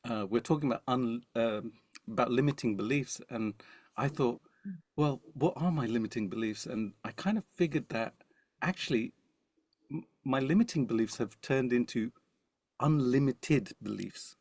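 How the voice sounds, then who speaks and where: Brief: man, gravelly, dry close sound, self-deprecating joker, rambling webinar co-host. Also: medium pitch, normal speed, darkish tone, no room sound. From Indian heritage. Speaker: musician, webinar co-presenter. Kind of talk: joking webinar talk.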